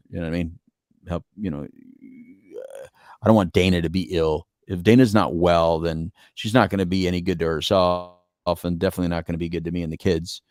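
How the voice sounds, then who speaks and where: A man's voice: low-pitched, darkish tone, no room sound, studio quality. A middle-aged man with an American accent talking casually and reflectively as a podcast co-host, earnest and rambling, repeating phrases for emphasis. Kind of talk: casual reflective relationship talk.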